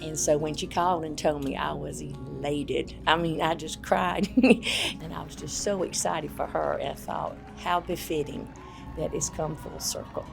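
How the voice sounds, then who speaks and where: A woman's voice: low voice, steady tone, unhurried, creaky, over music. A woman who coached basketball, speaking in an interview with emphatic, repeated points. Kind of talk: interview recollection.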